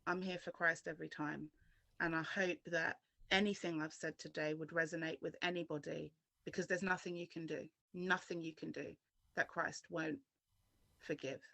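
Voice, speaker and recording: A woman's voice: sits low, steady tone, moderate pace, vocal fry, sharp tone, no echo. Earnest woman from London, dry sound. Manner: earnest, direct appeal to the viewer. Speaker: interview guest. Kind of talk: personal testimony.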